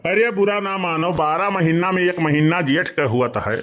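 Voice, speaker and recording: A man's voice: sits high, moderate pace, fairly bright tone, highs cut off. A man voicing an older village uncle in a comic cartoon, blunt and questioning, with an Awadhi accent. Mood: teasing. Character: older village uncle, teasing family elder.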